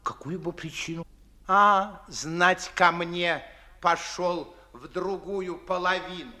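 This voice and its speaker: An actor playing a pompous, fawning older Moscow gentleman and father in a theatrical 1950s radio comedy, declamatory and fussy, drawing out his emphatic words. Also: high-pitched, steady tone, takes it slowly, exaggerated voice. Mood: confiding, cautioning. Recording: 1950s Soviet radio play recording.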